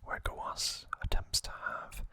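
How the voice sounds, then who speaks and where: A host whispering an ASMR recap in a soft, unvoiced, unhurried voice with frequent pauses. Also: dry recording, studio quality.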